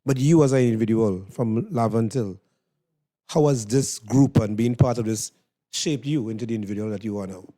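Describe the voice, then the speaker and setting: Mellow-voiced Trinidadian man hosting. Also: fairly low pitch, moderate pace. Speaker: interviewer and host. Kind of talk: casual on-stage interview.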